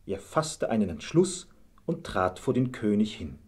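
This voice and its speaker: A man's voice: lowish voice, very animated, unhurried, darkish tone, slightly rough. A man narrating a fairy tale as a storyteller, reading from a script.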